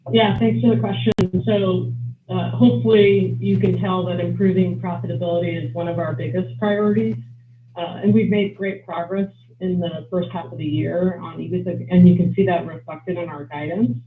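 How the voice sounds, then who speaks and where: An executive giving measured, businesslike answers on a company earnings call. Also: medium pitch, fairly even intonation, crowd noise. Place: conference call. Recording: roomy, noisy phone-line conference call audio.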